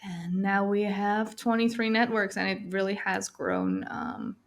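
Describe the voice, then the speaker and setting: A woman with a Dutch accent talks slowly and unhurriedly, as an interview guest. She hesitates often, filling gaps with "um" and short laughs, and her pitch sits in a middle range. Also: animated, creaky. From Netherlands. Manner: hesitant, many fillers, laughs often, switches between Spanish and English. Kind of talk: casual interview.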